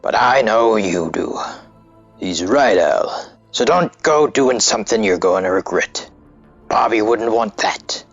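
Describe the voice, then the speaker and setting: Man playing a gravelly, animated streetwise pal. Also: on the low side, slow, dry recording, muffled recording, faint music behind, heavily acted. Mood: concerned. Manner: colloquial, earnest. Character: loyal, streetwise male friend, concerned and pleading. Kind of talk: comic dub acting.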